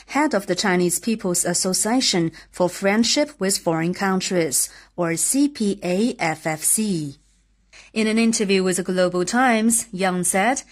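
Woman reading the news on a podcast, even and formal, mid pitch. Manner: measured, formal delivery.

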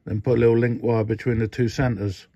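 A man's voice: mid-range voice, flat delivery, a little fast, warm tone, no echo. An older man casually demonstrating a radio modification at his workbench, rambling with many fillers and restarts. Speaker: radio hobbyist showing a modification. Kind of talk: casual hands-on demo. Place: indoor workbench. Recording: dull, dry lo-fi phone audio.

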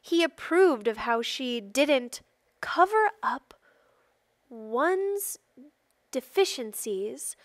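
High-voiced woman reading a storybook aloud. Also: dry recording. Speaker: storybook reader. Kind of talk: expressive read-aloud of a children's picture book.

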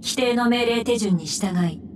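An actress voicing a clinical, emotionless agent giving a report in a Japanese anime game. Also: female voice, fairly high pitch, a little slow, distant mic, background noise, close to an everyday voice. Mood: detached.

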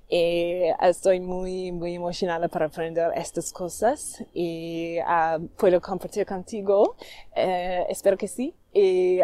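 Low mellow woman. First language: English. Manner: halting, many fillers, warm well-wishing. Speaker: vlogger. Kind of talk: casual vlog talk.

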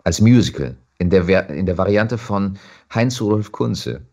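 A man chatting casually as an actor being interviewed, telling stories in an animated way. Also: low-pitched, moderate pace, gravelly.

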